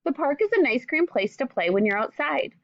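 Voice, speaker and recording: A woman reads a picture book aloud as a teacher would for young children. Her voice sits fairly high and moves up and down a lot as she speaks straight to her listeners. The recording is very dry and a little muffled. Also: sing-song, a little fast, no room sound. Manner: addresses young listeners directly with simple questions. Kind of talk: children's read-aloud with questions and asides.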